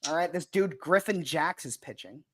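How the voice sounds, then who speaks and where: A man's voice: a little high, expressive, normal speed. A young man from Baltimore co-hosting a podcast, calling out live baseball updates in casual chat, often stumbling over his words. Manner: chatty, stumbles and restarts often. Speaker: podcast co-host.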